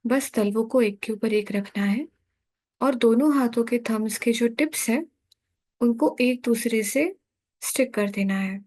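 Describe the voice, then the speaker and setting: A woman with an Indian accent guides a sleep meditation in a calm, soothing, unhurried manner, leaving long pauses between instructions. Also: fairly high pitch.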